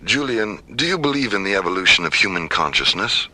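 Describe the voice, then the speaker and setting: An actor playing a principled science director, speaking firmly and articulately in a science fiction radio drama. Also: male voice, low voice, big pitch swings, bright, forceful tone, heavily acted, poor audio. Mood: firm, challenging. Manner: measured, firm, articulate. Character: principled, firm male science director opposing weapons.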